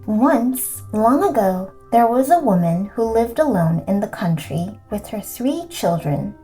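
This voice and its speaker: Slow, mellow woman storyteller. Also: mid-range voice, expressive, poor audio. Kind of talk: read-aloud children's story narration. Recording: music behind the voice.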